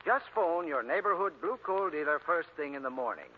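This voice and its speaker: Male spokesman for an old radio commercial: scripted, fairly high voice, muffled recording. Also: expressive, brisk, slight background noise. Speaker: advertising spokesman, home heating expert. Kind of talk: scripted 1940s radio advertising pitch.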